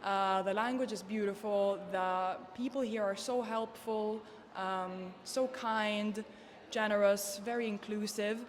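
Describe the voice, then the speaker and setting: A woman's voice: mid-range voice, fairly even intonation, unhurried, big-room sound, slight background noise. A woman in her twenties with a Norwegian accent, answering interview questions informally as a student. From Norway. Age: 20s.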